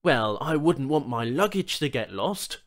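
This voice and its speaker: A man's voice: medium pitch, very animated, a little slow, pitched above the performer's own voice. A man voicing a proud, irritable adventurer with a curt manner in an audiobook story. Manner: curt, exasperated. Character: proud, irritable male adventurer who works alone.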